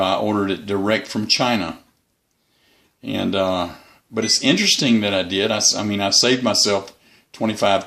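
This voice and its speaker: A man giving a casual, unscripted hobby review as the channel's host, in a halting, rambling way. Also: lowish voice, gravelly, a bit bright.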